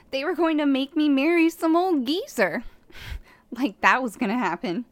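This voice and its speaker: Woman doing a bubbly sheltered princess, high girly voice, playful and pleading, fantasy character. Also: fairly bright tone, no echo, exaggerated voice.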